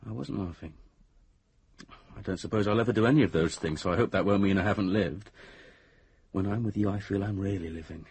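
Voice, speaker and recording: An actor in a radio drama playing a sensible young man, a boyfriend with a breathy, husky voice and a conversational manner. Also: deep voice, fairly quick, a little mellow, slight background noise. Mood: wry, patient. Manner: conversational, placating, turning exasperated.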